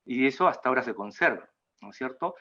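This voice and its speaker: A mature man with a Peruvian accent gives an informal talk in a halting way, often tagging phrases with a question seeking agreement. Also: medium pitch, lively intonation, bright, forceful tone, highs cut off. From Peru. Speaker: guest speaker at a cultural talk. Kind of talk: informal lecture in an online talk.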